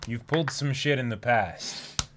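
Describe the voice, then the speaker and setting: A man co-hosting a reading stream, reacting in casual chat in an awed, halting, sweary way. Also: medium pitch, a bit bright.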